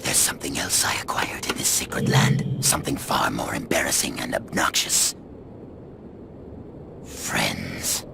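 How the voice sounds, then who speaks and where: A man voicing a brash, defiant goblin warrior in a video game, gravelly, with a cocky, dry manner. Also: extremely low pitch, big pitch swings, a little slow, noisy background, heavily acted. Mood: wry, reflective. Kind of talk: dramatic video game cutscene acting. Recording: music behind the voice. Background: game soundtrack music.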